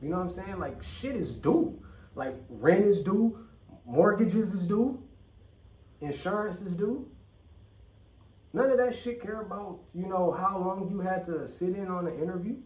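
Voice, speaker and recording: A man's voice: high voice, a little slow, a little mellow. Blunt man, echoey and distant. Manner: blunt, profane, repeats phrases for emphasis. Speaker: channel host. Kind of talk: casual vlog rant. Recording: echoey room, mic at a distance.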